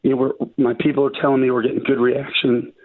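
Raspy-voiced man on a phone line. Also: mid-range voice, level tone. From Arkansas. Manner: halting, many restarts and fillers. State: raspy voice after covid. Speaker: judicial candidate, phone guest.

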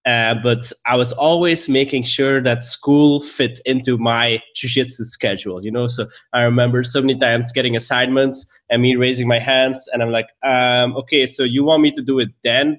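A man's voice: medium pitch, steady tone, normal speed, hardly any pauses, fairly bright tone. A young man from Belgium with a Belgian accent, a podcast guest telling his story in a friendly way, laughing often. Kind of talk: casual interview storytelling.

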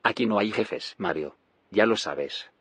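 An actor playing an idealistic, friendly leader of scientists in a naturalistic audio drama, with a husky voice. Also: male voice, lowish voice, a little slow, fairly bright tone, no room sound. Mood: friendly, hopeful.

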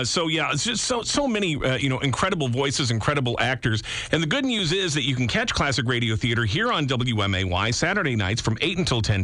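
A man's voice: medium pitch, bright, forceful tone, dry recording. A man hosting a conversational radio interview in a close, studio-like setting.

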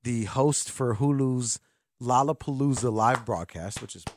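A man's voice: medium pitch, unhurried, heavy background noise. A man in his thirties hosting a sports radio show in a studio, joking and teasing his co-host in casual banter. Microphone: studio microphone.

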